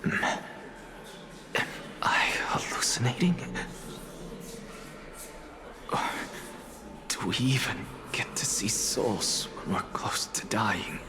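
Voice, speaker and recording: An actor playing a dying, proud young scholar in a video game, blunt, skeptical and sardonic in manner. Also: male voice, fairly low pitch, slow pace, long pauses, whispered. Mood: puzzled. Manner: dry, haughty, sardonic. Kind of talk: video game story acting. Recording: game music behind the voice.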